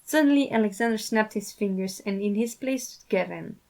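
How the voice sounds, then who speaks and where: A woman's voice: medium pitch, a little fast, darkish tone. A woman reading scripted fiction aloud as a storyteller who also does character voices. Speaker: fan fiction writer and reader.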